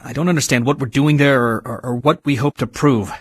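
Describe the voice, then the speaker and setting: A man playing a troubled young male soldier records a game audio log in a mid-pitched voice. He is halting and searches for words, captured dry and close. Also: breathy edge, dry recording, natural voice. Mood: troubled, hesitant. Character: troubled young soldier, male, hesitantly giving mission feedback. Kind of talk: video game voice acting, halting debrief log.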